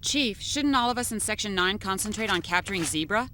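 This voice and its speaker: A woman voicing a tough cyborg squad commander in an English anime game dub, with a brisk, commanding manner. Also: medium pitch, lively intonation, sharp tone, slight background noise.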